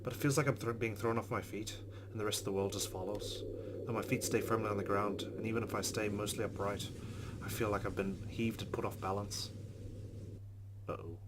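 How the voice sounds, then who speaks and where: Deep-voiced casual let's play narrator. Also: male voice, steady tone, brisk, noisy background. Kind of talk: reading game narration aloud with commentary.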